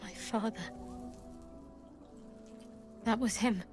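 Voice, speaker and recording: Slow, measured young woman cleric. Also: a little high, soft background music. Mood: shocked. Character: young devout female cleric, guarded, wry and shaken. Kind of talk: video game dramatic acting.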